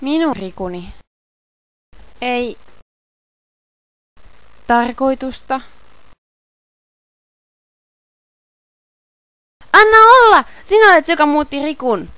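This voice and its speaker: A woman's voice: high voice, lively intonation, long pauses, pushed and bright, dry recording, poor audio. A woman playing an earnest, stubborn teenage boy hero in an amateur video game fandub. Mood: angry, defiant.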